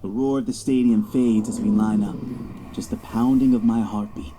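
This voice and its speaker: A man's voice: on the low side, fairly even intonation, normal speed, noisy background, close to an everyday voice. An actor playing a young star quarterback, cocky yet anxious, narrating his own story in an audio drama. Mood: determined.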